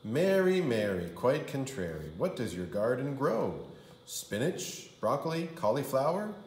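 A man's voice: medium pitch, very animated, unhurried. A man reading a children's storybook aloud like a kindergarten teacher, with theatrical, sing-song delivery. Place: indoor room. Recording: roomy indoor echo.

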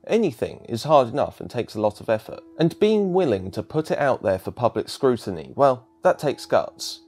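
A man's voice: medium pitch, darkish tone. A man giving scripted narration as a video essayist.

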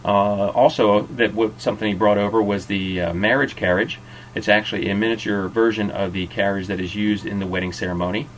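A man's voice: lowish voice, little pitch movement, fairly quick, a little hiss or hum, poor audio. A middle-aged man hosting and narrating an informal guided tour. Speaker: host and narrator of a restaurant tour.

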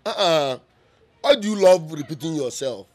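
A man's voice: on the high side, lively intonation, normal speed, darkish tone. A young man with a Nigerian accent playing a defiant son who argues with his mother, exasperated and mixing in Pidgin and Igbo phrases. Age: young adult.

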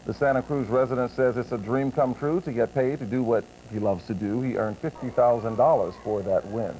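A man's voice: medium pitch, brisk, dark tone. An American man anchoring TV news, reading a scripted story. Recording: noisy old TV broadcast recording.

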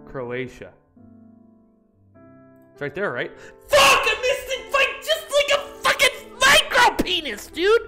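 A young man chatting casually on a live stream from a small room, profane and excitable, bursting into loud outbursts. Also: very high-pitched, takes it slowly, pauses often, soft background music.

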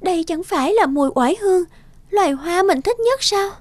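Very high-voiced young princess. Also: female voice, animated, brisk, no echo, studio quality. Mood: delighted. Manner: polite, earnest, hesitant. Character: gentle, timid, loyal young princess. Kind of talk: cartoon fairy-tale dubbing.

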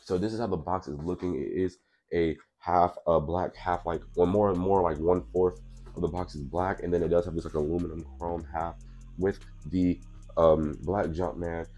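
Young guy with a real low voice, kinda stuffy nose, just chilling and talking sneakers, little music underneath. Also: fairly even intonation, normal speed, mellow. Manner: laid-back, chatty, says "you know what I'm saying" a lot. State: congested from allergies. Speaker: sneaker vlogger. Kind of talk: casual unboxing review. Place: small indoor room with purple lighting.

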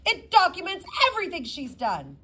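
A woman's voice: very high voice, huge pitch swings, normal speed, bright, forceful tone, voice pushed up, heavily acted. Woman putting on a stern, insistent school principal. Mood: indignant.

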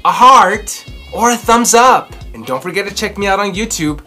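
A man in his thirties reading a storybook aloud and reviewing it to camera in a theatrical, expressive way. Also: high-pitched, normal speed, soft background music. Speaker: children's book reviewer and host. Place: small furnished room at home, indoors.

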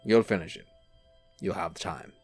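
A serene, charming angel acted by a man speaks in a low voice with very varied intonation. He is reassuring and slyly evasive, in an audio drama with soft music behind. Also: expressive, unhurried, slightly rough, full character voice. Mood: serene, teasing. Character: suave, serene male angel posing as an assistant. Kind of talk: film-adaptation audio drama acting.

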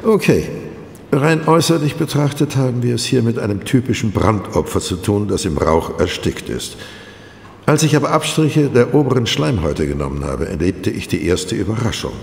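A man's voice: lowish voice, big pitch swings, moderate pace, vocal fry, a little hiss or hum. An actor playing a wry forensic pathologist in a crime audio drama, savoring his explanations theatrically. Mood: relishing explanation. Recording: echoey hall, loud music behind.

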